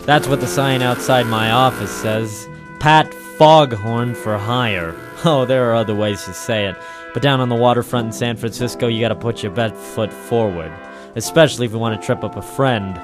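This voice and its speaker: An actor playing a cynical, wisecracking waterfront operator in a 1940s radio noir drama, deadpan and terse. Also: male voice, medium pitch, natural voice. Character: cynical hard-boiled waterfront private operator, male, wisecracking. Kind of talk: 1940s radio noir first-person narration and dialogue. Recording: 1940s radio broadcast recording.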